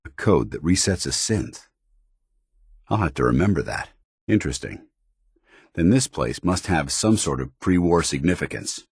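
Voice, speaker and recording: An American actor playing a stern, principled military paladin in a video game, with clipped, formal, authoritative diction. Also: male voice, a bass voice, expressive, moderate pace, pauses often, slightly rough, dry recording, studio quality, heavily acted. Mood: calm, curious. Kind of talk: video game companion dialogue.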